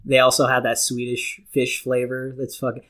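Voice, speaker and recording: A man's voice: medium pitch, completely flat delivery, normal speed, fairly bright tone. A man co-hosting a podcast with casual comedic banter and crude, profane joking. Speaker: podcast co-host.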